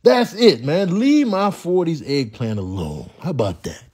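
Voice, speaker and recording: A man's voice: on the high side, very animated, unhurried, a little mellow, slightly rough, pitched below the performer's own voice, full character voice. A man playing a weary, defensive middle-aged grouch in a comedic monologue, grumbling in a weathered drawl. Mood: grumpy.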